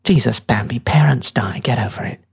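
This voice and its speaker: A narrator voicing a brash, husky teenage girl. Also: mid-range voice, normal speed, darkish tone. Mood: mocking. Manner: brash, mocking, bossy. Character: tough, scornful, streetwise teenage girl.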